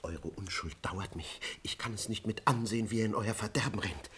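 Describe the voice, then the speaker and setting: An actor playing a wry, worldly manservant in a classic radio play, husky and knowing, with an exasperated pity. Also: male voice, lowish voice, brisk, a bit bright. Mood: pitying warning.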